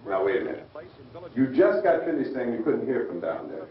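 An actor playing a resolute, defiant, practical American survivor in an old horror film, speaking bluntly and challengingly. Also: male voice, medium pitch, sing-song, fairly quick, lightly acted. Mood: accusing, indignant. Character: resolute, defiant, practical survivor standing his ground against the undead. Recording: echoey, muffled old film soundtrack, distant.

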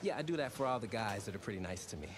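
An actor playing an eager young sports reporter angling for a scoop in a video game cutscene. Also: male voice, fairly low pitch, lively intonation, racing, natural voice. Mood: eager, persuasive. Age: young adult. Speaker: student reporter. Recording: noisy ambience behind the voice.

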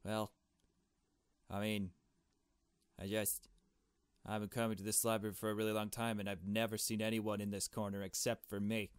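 A man reads as a shy young woman in a visual novel, on the low side in pitch. She is soft-hearted and unsure, feeling her way through sentences. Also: flat delivery, normal speed, pauses often, clear, resonant tone, dry recording, studio quality, close to an everyday voice. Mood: awkward. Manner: hesitant, questioning.

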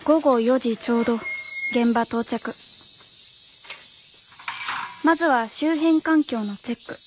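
A woman's voice: a little high, takes it slowly, noisy background. An actress playing an earnest young female English teacher in a radio drama, speaking politely and formally. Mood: businesslike. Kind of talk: Japanese radio drama acting, dialogue and inner monologue.

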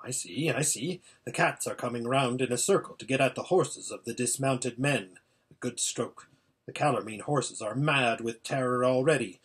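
A man's voice: mid-range voice, normal speed, a bit bright, slightly rough. A man voicing an impatient old hermit seer in a storybook, giving an anxious, exclamatory running report. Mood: urgent. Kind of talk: storybook character voice.

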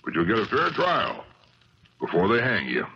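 An actor playing a folksy, unbending American county sheriff in a 1970s radio drama, deadpan, on a crackly recording. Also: male voice, fairly low pitch, normal speed, dull audio, full character voice. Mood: dry, stern.

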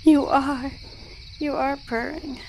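A woman's voice: sits high, fairly even intonation, slow, gravelly, a little hiss or hum, heavily acted. A woman voicing a playful, doting goddess sorceress in an ASMR roleplay, cooing and teasing affectionately.

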